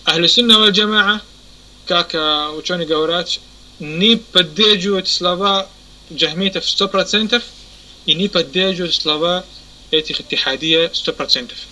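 A man teaching a religious lesson. He pauses often, corrects himself, and keeps asking if his listeners understand. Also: high-pitched, pushed and bright, slight background noise, poor audio. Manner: halting, many fillers and self-corrections. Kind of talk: explanatory lesson.

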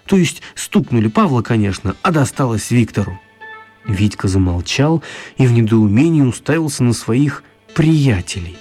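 A man narrating a children's story for radio in an expressive storytelling style. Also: medium pitch.

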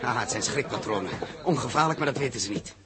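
An actor playing a decisive, daring pilot and team leader in a 1960s radio drama, with a commanding, resolute delivery. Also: male voice, mid-range voice, expressive, quick pace, a crowd in the background, lightly acted. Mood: self-assured. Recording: faintly noisy 1960s radio recording.

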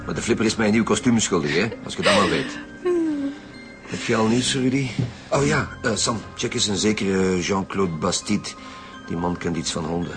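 A man with a Flemish accent playing a gruff, bossy chief detective in a radio crime drama, terse and commanding. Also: sits low, moderate pace. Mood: brusque, businesslike. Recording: music under the voice.

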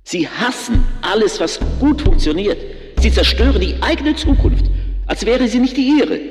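High-pitched outraged accuser, reverberant. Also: male voice, very animated, moderate pace, music in the background. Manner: loud, declaiming. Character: outraged accuser denouncing the young generation. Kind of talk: radio drama declamation.

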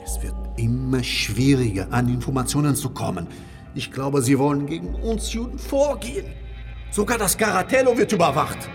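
An actor playing an anxious, secretive merchant conspirator in a German radio drama, speaking in a measured, conspiratorial manner. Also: male voice, mid-range voice, expressive, close to an everyday voice. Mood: worried. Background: music.

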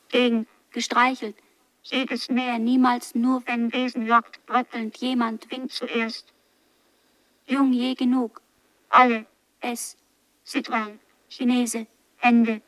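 An actress playing a barely conscious young girl in an experimental radio play, speaking in halting, disjointed fragments. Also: on the high side, fairly bright tone, dry recording, poor audio.

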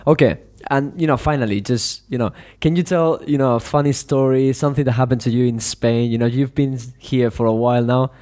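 A man hosting a podcast interview, talking in a relaxed way and filling his sentences with "you know". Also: mid-range voice, a little fast, hardly any pauses. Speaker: podcast host, English teacher. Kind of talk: casual interview chat.

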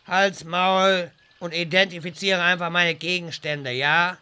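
A man's voice: high voice, steady tone, unhurried, pushed and bright, clean tone, heavily acted. A man playing a grumpy, evasive old wizard mentor who rambles peevishly in a parody audio drama. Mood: irritated.

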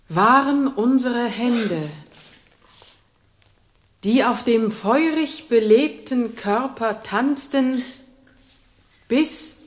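An intense poet in a radio drama. Her fairly high voice is extremely slow and deliberate, solemn and passionate. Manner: deliberate, intense, with long pauses. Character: solemn, passionate woman poet, reserved in conversation. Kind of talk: German radio drama acting.

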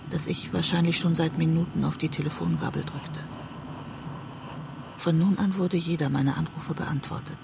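Casting: introspective West German woman writer, measured literary radio-drama narration, gravelly fry, noticeable background noise. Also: deep voice.

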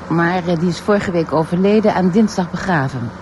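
A woman's voice: on the low side, a little fast, darkish tone, a little hiss or hum, lightly acted. An actress playing a young, slightly flustered and eager policewoman in a radio drama, talking informally and hesitantly. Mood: chatty, matter-of-fact.

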